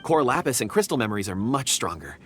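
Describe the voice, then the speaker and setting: A man's voice: mid-range voice, sing-song, fast, fairly bright tone, soft background music. An actor playing a polite, modest young man in a video game, earnest and a little hesitant. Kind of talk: video game character acting.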